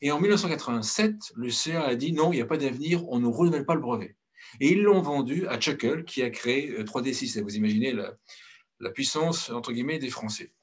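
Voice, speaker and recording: A man's voice: mid-range voice, animated, fairly quick, husky. A middle-aged man from France giving a blunt, outspoken presentation as director of a consultancy, with frequent hesitations and restarts. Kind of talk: conference talk.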